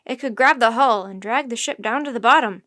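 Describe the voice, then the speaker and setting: A woman voicing a commanding male ship's captain in a storybook, alarmed and forcefully shouting orders. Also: fairly high pitch, brisk, no echo, studio quality. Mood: grim, forceful.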